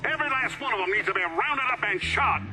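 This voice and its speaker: Bigoted southern police chief in a game, high voice, cussing, emphatic, music behind. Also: male voice, lively intonation. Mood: belligerent. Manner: emphatic, drawn-out, profane.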